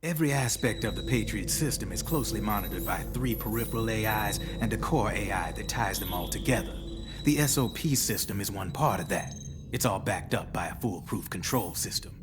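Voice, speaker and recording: An actor playing a smug, worldly arms dealer in a video game, explaining things in a cocky, folksy way. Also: male voice, lowish voice, lively intonation, brisk, over music, lightly acted. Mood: matter-of-fact. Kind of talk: cinematic video game acting, expository dialogue.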